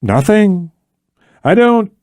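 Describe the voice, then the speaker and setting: A man's voice: sits high, sing-song, slow, a little mellow, dry recording, clean studio sound, pitched above the performer's own voice, heavily acted. A man playing a skeptical, bitter, protective male operative in an audiobook, with an urgent, pleading manner. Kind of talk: audiobook character dialogue.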